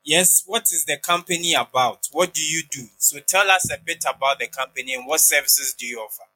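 Very bright, high-pitched male podcast host. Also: fairly quick, faint music behind, low-quality recording. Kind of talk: podcast introduction and interview questions.

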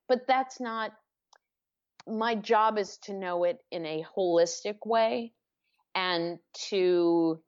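Slow, measured woman on dull audio. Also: lowish voice. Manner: thoughtful, circling with restarts and fillers. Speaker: author being interviewed. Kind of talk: reflective interview answers.

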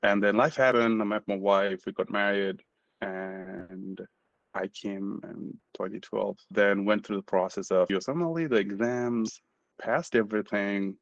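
Gravelly low-voiced man with Nepali accent. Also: fairly even intonation, a little slow, no room sound, dull audio. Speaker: podcast guest, medical student. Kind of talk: personal storytelling in an interview.

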